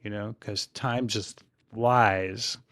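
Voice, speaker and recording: A man in his sixties, a podcast guest, talking in a relaxed, rambling way about his fitness habits, often restarting his sentences. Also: fairly low pitch, very slow, no room sound, studio quality. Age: 60s. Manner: rambling and reflective, with frequent restarts. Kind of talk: casual interview chat.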